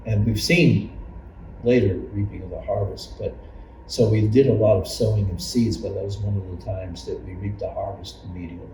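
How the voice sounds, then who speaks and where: Very deep-voiced older man in an echoey room. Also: fairly even intonation, dark tone. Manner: halting, circling storytelling with repeated phrases. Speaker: longtime church member and Sunday school teacher. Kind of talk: personal reminiscence in an oral history interview. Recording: roomy, distant mic, noisy background.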